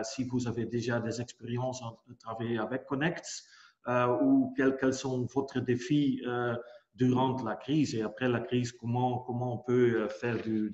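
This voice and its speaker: A man from the Netherlands with a Dutch accent, a company co-founder giving a webinar presentation in a hesitant way, full of fillers and repeated words. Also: medium pitch. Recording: roomy, dull lo-fi sound.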